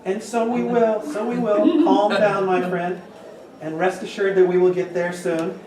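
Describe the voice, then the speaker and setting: A man's voice: high-pitched, little pitch movement, measured pace, warm tone. A man performing a pompous, grandiose orator in a readers' theater piece. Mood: lofty. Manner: grandiose, declamatory. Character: pompous, theatrical male orator scorning his interrupters. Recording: distant, echoey, noisy room recording.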